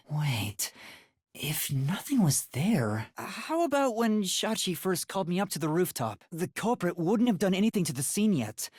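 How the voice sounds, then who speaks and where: An earnest, boyish young detective from a video game. His voice is light and husky, with lively swings in pitch, and he reasons things out carefully yet sounds easily rattled. The recording is dry and close. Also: no room sound, exaggerated voice.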